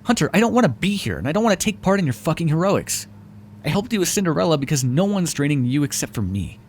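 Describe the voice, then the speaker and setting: A man's voice: a little high, very animated, fast, a little mellow, slight background noise. A man voicing a sarcastic, foul-mouthed vampire who is grudgingly helpful and hungry, speaking intimately to the listener in an audio roleplay. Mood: exasperated, then grudging, dry. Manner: sarcastic, wry, exasperated, conversational. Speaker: roleplay voice actor.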